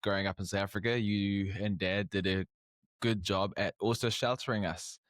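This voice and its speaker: Young male host, low register, loose and hesitant delivery, dry sound. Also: steady tone, no room sound. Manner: halting, many restarts and fillers. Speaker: podcast host. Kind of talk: casual interview.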